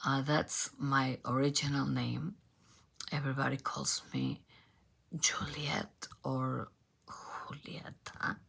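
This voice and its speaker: A woman in her forties from Argentina, with an Argentine Spanish accent, telling her own story in English in a strained voice that keeps breaking into whispers, halting and full of fillers. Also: extremely low pitch, little pitch movement, gravelly, a bit bright, slightly rough. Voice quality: broken, strained voice dropping into whispers. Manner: halting, many fillers and restarts. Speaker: former singer. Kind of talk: personal storytelling to camera.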